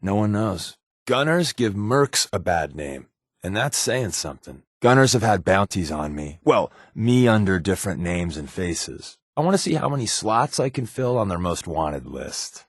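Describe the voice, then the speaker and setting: A man's voice: on the low side, very animated, normal speed, slightly rough, no room sound, studio quality, heavily acted. An actor playing a wisecracking male spy companion in a post-apocalyptic video game, with a sardonic, dryly quipping manner. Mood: wry, sardonic.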